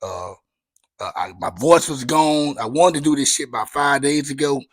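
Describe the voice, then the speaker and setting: A man hosting his own talk show, chatting casually in a loose, candid way full of slang and swearing, with a raspy voice. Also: mid-range voice, moderate pace, no echo. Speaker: podcast host. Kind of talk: casual solo chat.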